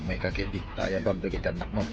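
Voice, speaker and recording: A man's voice: sits low, monotone, muffled recording, faint music behind. An actor playing a teasing male neighbor in a radio drama, drawing out his words with a playful edge. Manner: teasing, chuckling, drawn-out words.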